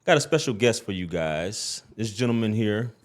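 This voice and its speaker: Deep-voiced easygoing podcast host. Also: male voice, animated, normal speed. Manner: easygoing, affirming with short responses. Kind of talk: casual interview conversation.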